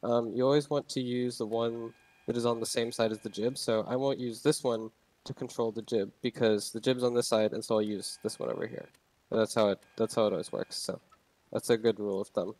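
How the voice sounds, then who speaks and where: A man's voice: mid-range voice, steady tone, a little fast, a little mellow, no echo, poor audio. Young male narrator, loose unscripted tutorial talk, dry and close. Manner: rambling, many ums and restarts. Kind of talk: casual gameplay tutorial.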